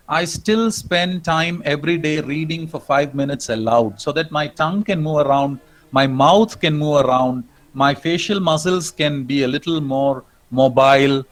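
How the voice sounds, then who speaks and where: Reflective Indian man lecturing, slightly high voice. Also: low-quality recording. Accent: Indian English. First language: not English. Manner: reflective, with frequent "um" and "uh" pauses. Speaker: professor.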